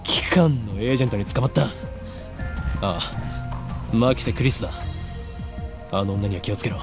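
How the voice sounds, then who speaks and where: A man's voice: on the low side, fast, lightly acted. A man voicing a theatrical, paranoid young mad scientist in a visual novel, pompous and dramatic. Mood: secretive, grave. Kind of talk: Japanese visual novel voice acting. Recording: game music under the voice.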